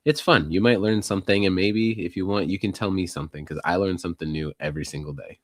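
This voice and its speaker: A man co-hosting a local news podcast in casual chat, hoarse and husky from a recent illness, laid-back and rambling with self-deprecating jokes. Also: sits low, fast talker, dry recording, studio quality.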